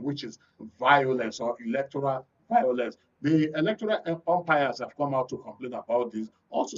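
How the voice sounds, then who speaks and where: A man with a Nigerian accent hosting a current affairs discussion, explaining in a measured way. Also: mid-range voice, distant mic, lo-fi sound. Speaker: radio and TV talk show host.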